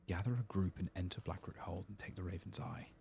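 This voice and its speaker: Very deep, hushed ASMR man. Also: creaky, crowd noise. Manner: hushed, reads quest text aloud slowly. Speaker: ASMR gaming streamer narrating a playthrough. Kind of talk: soft-spoken and whispered ASMR game commentary.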